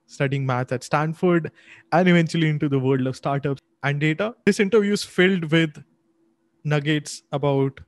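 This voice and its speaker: Higher-voiced young man hosting, very dry sound. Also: dry recording. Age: young adult. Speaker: podcast host, interviewer. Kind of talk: podcast introduction and interview questions. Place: small home office, indoors. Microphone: close desk microphone.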